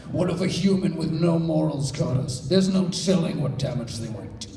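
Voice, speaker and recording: A man's voice: a little high, moderate pace, vocal fry, dark tone. A man reading fan fiction aloud as a comedic live panelist, in a convention room. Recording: echoey convention room, distant mic.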